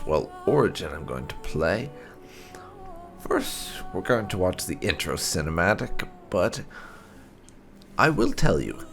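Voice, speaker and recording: A man hosting a gaming let's play, talking casually in deliberate, halting phrases. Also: on the low side, gravelly, fairly bright tone. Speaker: host of a gaming channel.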